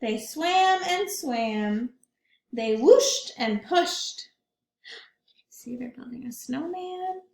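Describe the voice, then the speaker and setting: Teacher lady reading a picture book to kids, lively sing-song voice, slow and careful, echoey room. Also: high voice, creaky. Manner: warm, questioning, child-directed.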